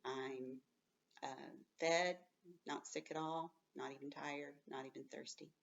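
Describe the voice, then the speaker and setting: A woman's voice: low voice, steady tone, a little slow, creaky. A woman schoolteacher giving a read-aloud lesson to children, explaining things in simple words and commenting as she goes. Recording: dull sound, distant from the mic.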